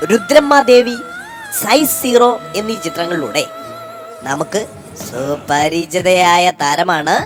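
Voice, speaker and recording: Slow, animated woman presenting gossip. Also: on the low side. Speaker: entertainment gossip show presenter. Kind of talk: scripted, playful celebrity gossip narration.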